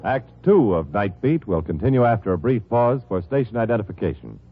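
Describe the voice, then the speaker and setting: Animated American 1950s radio announcer, male. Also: on the low side, fairly quick, over loud music. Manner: polished salesmanlike delivery. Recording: tinny 1950s radio recording.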